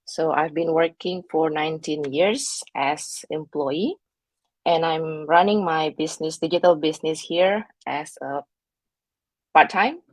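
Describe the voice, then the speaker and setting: A woman's voice: low voice, unhurried, no echo, poor audio. A woman in her forties from Bali, Indonesia, introducing herself hesitantly on a video call. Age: 40s. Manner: hesitant, with fillers. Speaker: panel guest. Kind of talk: self-introduction.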